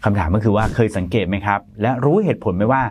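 A man's voice: lowish voice, very fast, soft background music. A man in his thirties presenting an explainer to camera in a studio, talking in a polite, chatty way with a lapel mic. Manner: polite, chatty, frequent soft particles. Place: bright studio in front of a plain grey backdrop.

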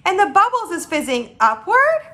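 A woman voicing an excitable, indignant giant man in a storybook, with muddled grammar and emphatic exclamations. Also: very high-pitched, voice pushed up, heavily acted. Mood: explaining. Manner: emphatic, exclaiming, drawn-out words in mangled grammar.

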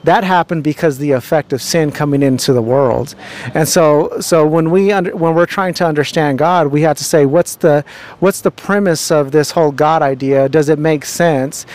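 A man's voice: a little high, moderate pace, darkish tone. A young man with a handheld mic interviewing people outdoors on a city street, talking earnestly and preachily with many fillers and restarts.

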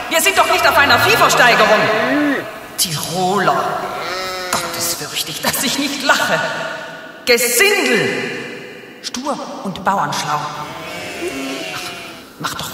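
An actress playing a formal, easily exasperated angel of the Lord in a satirical Christmas radio play. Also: female voice, on the high side, very animated, measured pace, pauses often. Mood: exasperated, scornful. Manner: solemn, formal, scriptural proclaiming. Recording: heavy echoing reverb, distant, faint noise.

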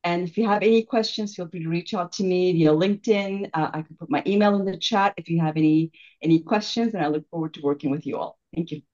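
Female executive, Turkish accent, lowish pitch, informal self-introduction over slightly muffled, lo-fi video call audio. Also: fast, mellow. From Turkey. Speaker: incoming chief executive introducing herself. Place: online video meeting. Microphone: video call microphone.

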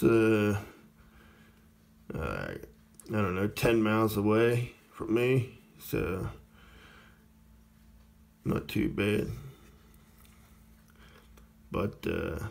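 Slow, gravelly deep-voiced man. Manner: plainspoken, rambling, many uh fillers. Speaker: radio reviewer. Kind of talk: casual product demo.

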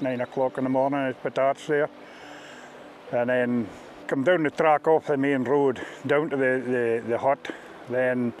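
A middle-aged man with a Scottish accent, working as a fishing ghillie, explains things informally in a halting, plain-spoken way. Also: medium pitch, low-quality recording. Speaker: fishing ghillie on a river beat. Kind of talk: informal interview-style explanation of a fishing beat. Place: outdoors on a riverbank. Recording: outdoor ambient noise behind voice.

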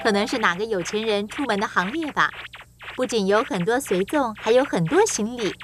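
A woman's voice: a little high, expressive, fairly quick. A woman reading scripted narration for a children's storybook tale. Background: music.

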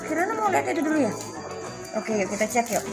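A woman's voice: sits high, big pitch swings, fast. A woman in her thirties, a mother chatting to viewers and coaxing her kids while vlogging into a phone held out in a big indoor store. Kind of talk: casual family vlog. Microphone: phone held at arm's length. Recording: loud background music. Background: store music playing loudly.

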